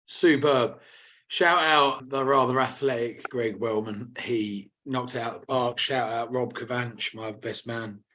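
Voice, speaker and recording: A man with a slightly hoarse voice, chatting on a podcast in a loose, laddish way. His voice sits at an everyday middle pitch as he tells rowdy stories with plenty of energy. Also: measured pace. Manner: animated, laddish banter. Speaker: podcast co-host. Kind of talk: casual chat.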